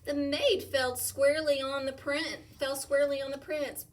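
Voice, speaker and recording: A woman reading a picture book aloud to children in an animated way, asking them questions and sometimes dropping to a whisper. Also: sits high, moderate pace, distant mic, slight background noise. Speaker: storytime reader, teacher.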